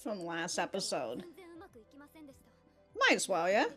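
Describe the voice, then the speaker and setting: A woman's voice: fairly low pitch, sing-song, takes it slowly, fairly bright tone, soft background music. A woman giving casual, slangy reaction commentary as a video host, exclaiming and swearing freely.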